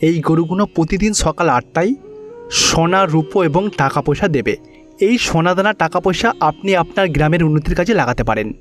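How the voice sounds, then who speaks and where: A man playing a calm, benevolent male god in a cartoon story, speaking in a measured, assuring way. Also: a little high, faint music behind, natural voice.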